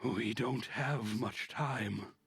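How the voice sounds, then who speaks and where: A man voicing a mysterious, urgent double from a parallel world in a visual novel, speaking in a cryptic, halting, insistent way. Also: medium pitch, a bit bright, husky, no echo, voice pushed up, full character voice.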